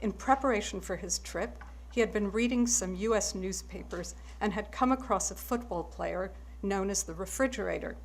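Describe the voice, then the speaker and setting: A German-born woman with German as her first language, giving a measured, wry acceptance speech. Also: medium pitch, slight background noise.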